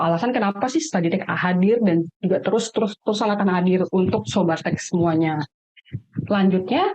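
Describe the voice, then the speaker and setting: A woman's voice: fairly low pitch, a little mellow. A woman with an Indonesian accent hosting a webinar in a casual, chatty, slangy way, heard over an online call. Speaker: webinar host. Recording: dull, lo-fi webinar audio.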